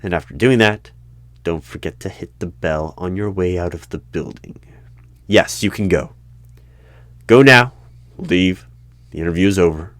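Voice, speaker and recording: A man in an ASMR roleplay as the stern hiring manager of a secret bureau, with deadpan questioning that breaks into sudden loud bursts and whispers. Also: sits low, unhurried, pauses often, no room sound. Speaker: interviewer in a roleplay.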